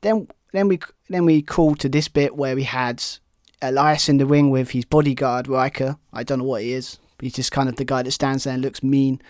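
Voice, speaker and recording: A man's voice: mid-range voice, steady tone, fairly quick, darkish tone, dry recording. Chatty British man, dry close sound. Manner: rambling, self-correcting, opinionated. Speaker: wrestling podcast co-host. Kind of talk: casual chat.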